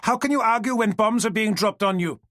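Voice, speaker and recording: Man reading as a shrill young woman agitator in an audiobook, high and loud, defiant. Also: brisk, no room sound, clean studio sound. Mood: intense. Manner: shrill, yelling accusations.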